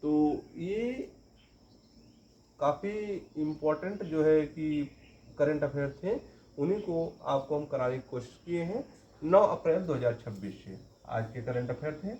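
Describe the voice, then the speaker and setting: A man's voice: a little high, animated, unhurried, darkish tone, slight background noise. Man teaching a quiz-style lesson. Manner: repeats each question and answer for emphasis. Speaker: current affairs teacher. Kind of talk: exam-prep quiz lesson. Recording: roomy, dull lo-fi recording.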